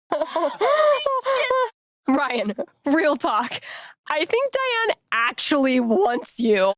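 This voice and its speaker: Wry, unhurried young American woman. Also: high-pitched, dry recording, clean studio sound, heavily acted. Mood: excited teasing. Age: young adult. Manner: casual, teasing, deadpan. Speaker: video game voice actress. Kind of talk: naturalistic game dialogue.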